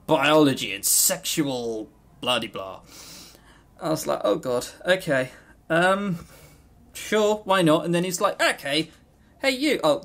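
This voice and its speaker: Man, podcast co-host, higher-pitched voice, very lively intonation, jokey, swears freely, casual chat. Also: vocal fry. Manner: animated, jokey storytelling with frank swearing. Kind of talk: casual chat about games.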